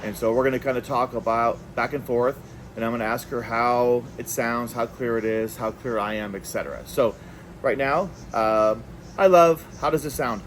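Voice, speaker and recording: A man with a medium-pitched voice presents an unscripted tabletop product review. He is conversational and works out his thoughts aloud in a casual way. A noticeable steady background noise sits behind his voice. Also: normal speed. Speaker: product reviewer, video host.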